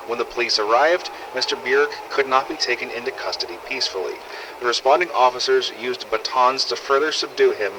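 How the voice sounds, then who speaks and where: A man playing a measured, analytical outbreak investigator, giving a precise formal report in a game audio log. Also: mid-range voice, background noise, close to an everyday voice. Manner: clinical, precise.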